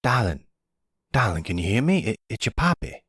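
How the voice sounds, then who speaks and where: A man voicing an older mafia father in an audio roleplay, doting and gruffly protective. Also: fairly low pitch, sing-song, brisk, a bit bright, no echo, studio quality, pitched above the performer's own voice, heavily acted. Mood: tender, worried. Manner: tender to daughter, gruffly threatening to others.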